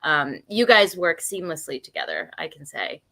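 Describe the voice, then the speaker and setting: A woman's voice: deep voice, wildly swooping pitch, normal speed, sharp tone. A woman co-hosting a podcast over a video call, upbeat and chatty, laughing often. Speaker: podcast co-host. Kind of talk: casual video podcast chat.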